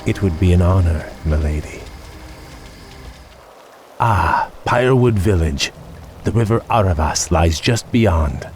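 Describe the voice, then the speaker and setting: An actor playing a noble young elven prince in a fantasy game, speaking in a formal, courtly and earnest manner. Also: male voice, low-pitched, lively intonation, a little slow, pauses often, darkish tone, a little hiss or hum. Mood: respectful. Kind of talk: dramatic fantasy game voice acting.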